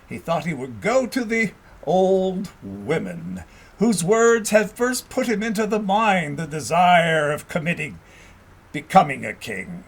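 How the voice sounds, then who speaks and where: High-pitched, animated male storyteller with husky edge. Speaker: audiobook narrator. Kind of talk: scripted storybook narration of a historical tale.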